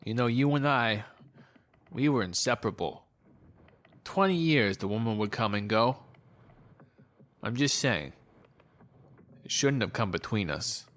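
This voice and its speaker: A man plays a hard-drinking old friend in an audio drama. His voice is medium in pitch, and he comes across as brash and nostalgic, a gambler at heart. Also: animated, unhurried, long pauses, fairly bright tone. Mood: regretful.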